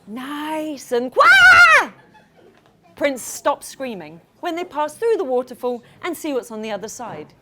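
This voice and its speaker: A woman reading her storybook live to children in an animated, interactive way, pausing to address them and ask questions. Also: high-pitched, very noisy. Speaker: children's author reading own book. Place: room with an audience of children.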